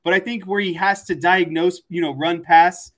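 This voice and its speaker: A man with a fairly high-pitched voice talks sports as a show panelist. His delivery is casual and explanatory, circling back to repeat words when he wants a point to land. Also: fairly even intonation, normal speed, fairly bright tone.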